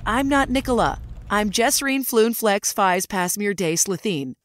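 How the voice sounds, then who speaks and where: A woman's voice: medium pitch, expressive, measured pace, natural voice. A woman playing a gleeful, sinister female alien posing as an office worker in an audio drama, with a mock-innocent, taunting delivery. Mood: mocking menace.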